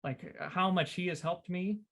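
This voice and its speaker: A man's voice: sits high, steady tone, a little slow, vocal fry, a bit bright. A man hosting a casual, chatty podcast, enthusiastic and inclined to repeat his phrases. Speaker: podcast host.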